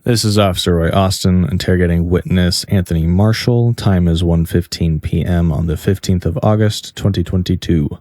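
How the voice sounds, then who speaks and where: A man's voice: sits low, fairly even intonation, normal speed, few pauses, mellow, no room sound, studio quality, close to an everyday voice. A man playing a businesslike police officer in an audio drama, speaking formally as he conducts an interrogation. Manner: formal, procedural.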